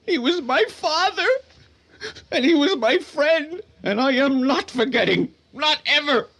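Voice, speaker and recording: An actor playing a grief-stricken, bitter young son in radio drama, with anguished, stammering outbursts. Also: male voice, extremely high pitch, lively intonation, a little slow, pushed and bright, a little hiss or hum, exaggerated voice. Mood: bitter, defiant. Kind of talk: old-time radio drama acting.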